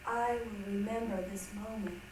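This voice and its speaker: A woman reciting on stage as a reader's theatre performer, with expressive, dramatic delivery. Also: medium pitch, normal speed. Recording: echoey hall from far off, faint noise.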